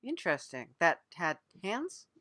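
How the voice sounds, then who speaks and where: A woman hosting a casual improvised comedy interview, asking questions in a hesitant, deadpan way. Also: lowish voice, measured pace, dry recording, studio quality. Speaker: podcast host and interviewer.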